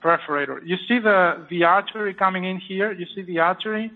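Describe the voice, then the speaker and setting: A high-voiced man with a French accent talks through a surgery step by step, pausing often with fillers. His voice comes over a thin, tinny line that sounds like a phone. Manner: explanatory, halting with many fillers. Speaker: surgeon performing a live procedure. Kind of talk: live procedure commentary.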